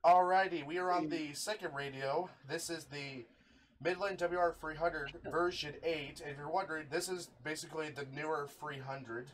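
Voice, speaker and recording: Male host, fairly high voice, informal chatty hobby presenter, faintly noisy recording. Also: steady tone, moderate pace. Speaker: video host showing a radio collection. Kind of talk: casual hobby showcase with friends.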